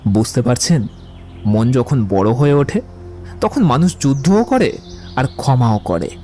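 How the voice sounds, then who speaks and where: Witty, eloquent young romantic man for audio drama, male actor, theatrical, lively swings in pitch, soft music behind. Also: a little slow. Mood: playful bravado. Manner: eloquent, playful, declamatory. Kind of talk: dramatised audiobook dialogue acting.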